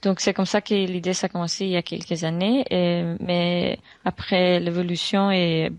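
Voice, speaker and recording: Woman, twenties, flat low voice, halting with restarts, dry sound. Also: dry recording. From Honduras. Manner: halting, many restarts and fillers. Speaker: guest entrepreneur. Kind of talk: interview answers.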